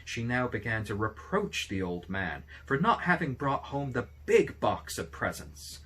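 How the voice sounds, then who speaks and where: A man reading a fairy tale aloud as an expressive narrator. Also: mid-range voice, unhurried, husky. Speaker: storybook reader.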